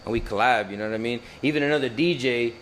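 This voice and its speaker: Mid-pitched man hosting a casual podcast, hyped and slangy, with a faint hum of room noise behind him. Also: fast talker, low-quality recording. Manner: hype, slangy, animated, drops Spanish phrases. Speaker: podcast host.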